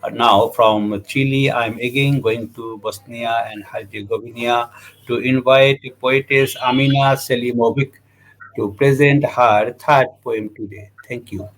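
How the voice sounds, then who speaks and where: A man's voice: medium pitch, steady tone, a little slow, rough recording. A man hosting a poetry program, formally introducing each poet and thanking them. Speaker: program host.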